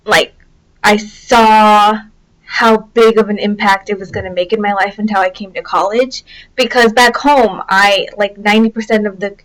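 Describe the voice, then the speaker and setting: A woman's voice: medium pitch, low-quality recording. A young woman from Southern California, a college student speaking reflectively with fillers in an interview. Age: young adult. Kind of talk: documentary interview.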